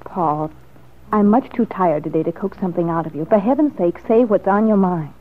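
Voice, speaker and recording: An actress playing a determined, sharp-tongued woman in a classic radio soap opera, with a haughty, sarcastic manner. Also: on the low side, moderate pace, close to an everyday voice. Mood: impatient. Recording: muffled lo-fi vintage radio recording.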